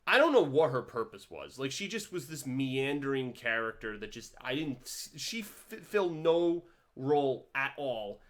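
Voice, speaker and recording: A man giving a casual commentary vlog, rambling with many restarts and swinging his pitch and loudness animatedly. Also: sing-song, normal speed, hardly any pauses. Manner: rambling, with frequent restarts and stumbles. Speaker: vlogger reviewing a TV series.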